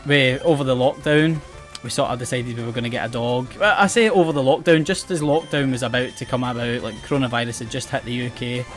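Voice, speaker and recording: A man's voice: mid-range voice, quick pace. Scottish guy from the west coast, chatty gaming chat, medium voice, bit of game music behind. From Scotland. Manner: chatty, rambling, self-mocking, often restarts words and laughs. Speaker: gaming channel host. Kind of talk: casual game commentary.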